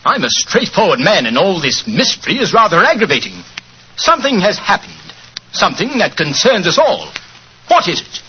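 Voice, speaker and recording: An actor playing a blunt, impatient estate manager with a forceful delivery in 1940s radio drama. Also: male voice, high voice, huge pitch swings, moderate pace, heavily acted. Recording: noisy, muffled 1940s radio recording.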